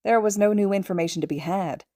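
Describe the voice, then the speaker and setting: A woman's voice: medium pitch, fast, dark tone, no room sound, studio quality. A woman reading a story aloud as a scripted narrator. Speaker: podfic narrator.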